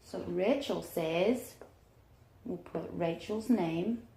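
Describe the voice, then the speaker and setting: A woman teacher reading aloud to young children in an encouraging manner, with questions and deliberate pauses. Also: lowish voice, gravelly, dark tone, a little hiss or hum. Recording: roomy, echoing room sound.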